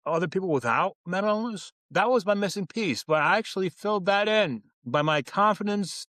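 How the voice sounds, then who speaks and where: Earnest man, higher-pitched, dry sound. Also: lively intonation, brisk, fairly bright tone, no echo. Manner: earnest and reflective, with frequent restarts. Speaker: journalist, interview guest. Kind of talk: casual conversational interview.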